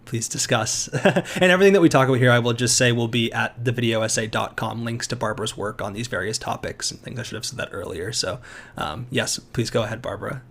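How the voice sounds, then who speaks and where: A man hosting a podcast interview, asking questions in a measured way and correcting himself now and then. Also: medium pitch, gravelly, a bit bright. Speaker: podcast host.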